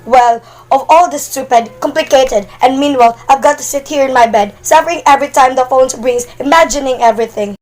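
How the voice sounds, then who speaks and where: A woman's voice: high-pitched, fairly quick, few pauses, lightly acted. A woman playing a nervous, demanding bedridden wife, irritable and frantic, in an amateur radio play. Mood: exasperated, indignant. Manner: exasperated, complaining, dramatic.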